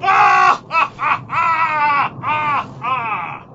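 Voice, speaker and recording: A man's voice: very high voice, sing-song, very slow, heavy background noise, heavily acted. An actor playing a proud, hearty bird-man war leader in a theatrical pulp audio drama. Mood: excited alarm. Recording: dull lo-fi audio under loud music.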